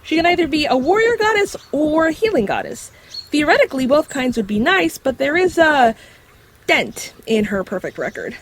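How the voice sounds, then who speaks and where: A woman's voice: high-pitched, sing-song, normal speed, a little hiss or hum. A woman playing a clever talking dog who explains myths and reassures friends in an audio drama. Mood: explaining. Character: clever talking dog companion, confident guide on mythology. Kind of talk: acted audio drama dialogue.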